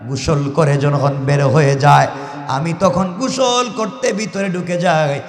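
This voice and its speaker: A Bangladeshi man delivers a religious sermon in a high, declamatory voice. He tells the story slowly and dramatically, stretching phrase ends into chant. The sound rings with long, echoey PA reverb. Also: faint music behind. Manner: declamatory, sing-song chanting at phrase ends, calls on listeners to respond. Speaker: Islamic preacher.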